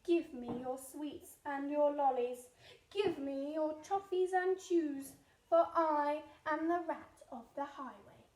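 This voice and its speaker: A woman playing a rude, greedy male rat highwayman in a storybook, commanding and scornful as they declaim in rhyme. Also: slow pace, darkish tone, a little hiss or hum. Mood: bellowing, demanding. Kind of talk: picture-book storytelling. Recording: echoey room.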